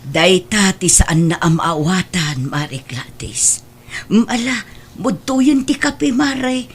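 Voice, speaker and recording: A woman's voice: medium pitch, lively intonation, slow pace, slightly rough, exaggerated voice. A woman playing a meek, self-sacrificing older mother in an Ilocano-accented audio drama, pleading and apologetic in manner. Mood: weary, confiding. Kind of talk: amateur radio-style Ilocano audio drama. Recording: background noise and ambience.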